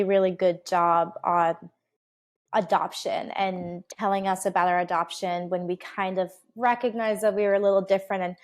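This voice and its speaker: A woman in her twenties, raised in the United States, chatting as an interview guest in a bubbly way with frequent laughs and fillers. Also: mid-range voice. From United States (Oregon). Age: 20s. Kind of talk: casual interview chat.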